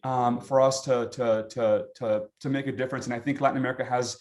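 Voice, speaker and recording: A man's voice: medium pitch, steady tone, fast talker, a little mellow. A man speaking as a panelist, hesitant, with many fillers and restarts. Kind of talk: panel discussion.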